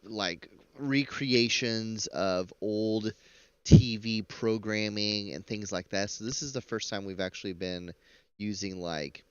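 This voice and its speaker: A man's voice: on the low side, slow pace, smooth, dry recording, dull audio. A man hosting a casual live stream, giving chatty, rambling, jokey reaction commentary into a close mic.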